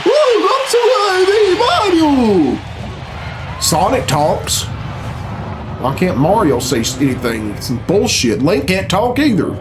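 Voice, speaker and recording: A man's voice: high-pitched, wildly swooping pitch, slow. A man in his thirties giving casual, off-the-cuff reaction commentary in a blunt, grumbling, puzzled way, swearing freely. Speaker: reaction video host. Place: small furnished room at home. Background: game cutscene music and sound.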